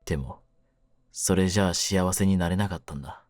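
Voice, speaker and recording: A man playing a cynical, wounded young mafia kidnapper in an audio drama, with a low voice and rough, brooding delivery. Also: a little fast, darkish tone, no echo, clean studio sound, faint music behind, natural voice. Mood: grieving, bitter. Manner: rough masculine slang, taunting, brooding delivery. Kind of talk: Japanese situation-voice audio drama, ASMR.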